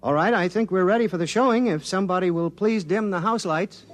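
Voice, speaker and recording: A man's voice: high-pitched, brisk, lightly acted. An actor in a 1950s radio drama playing an earnest male linguist briefing reporters about contact with aliens. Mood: explaining.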